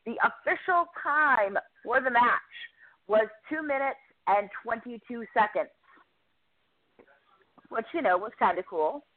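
A woman reporter giving a chatty, enthusiastic recap of a wrestling show, quick to poke fun at herself. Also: on the high side, expressive. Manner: chatty, enthusiastic, self-deprecating. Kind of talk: casual podcast recap. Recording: tinny phone line.

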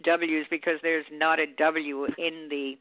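A woman from Norway with no accent left, talking in a gracious, unhurried way as a guest. Her voice comes through a thin, tinny phone line, and it sounds dry and close with no room around it. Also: low-pitched, flat delivery, dry recording. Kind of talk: casual call-in chat.

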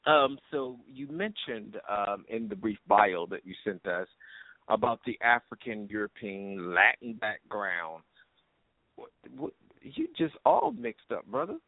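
A man's voice: lowish voice, big pitch swings, a little slow, dry recording. A man hosting an interview show in a casual, effusive, informal way, often repeating his phrases. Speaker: radio show host. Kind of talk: casual interview and sign-off shout-outs. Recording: muffled lo-fi internet radio call.

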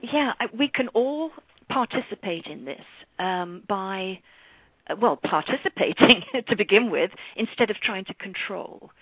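Husky middle-aged English woman, dull lo-fi sound. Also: medium pitch, expressive, measured pace, no room sound. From London, England. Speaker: guest numerologist and author. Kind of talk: spiritual radio interview talk.

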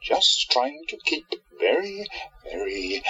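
A man playing an irritable, stubborn, grieving bookshop keeper in a radio play, husky and dramatically self-justifying. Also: fairly high pitch, very animated, slow, bright, forceful tone, lo-fi sound, heavily acted. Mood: bored, brooding.

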